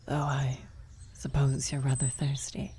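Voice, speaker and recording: A woman playing a worried, tender vampire sorceress in an ASMR fantasy roleplay, speaking softly and haltingly, often near a whisper. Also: very deep voice, flat delivery, a little hiss or hum. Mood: gentle, guiding. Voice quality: soft, breathy, often slipping into whisper. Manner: halting, hesitant, gentle. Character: worried female vampire blood sorcerer, tender, guilty lover. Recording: outdoor birdsong and ambience behind. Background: birdsong, nature ambience effects.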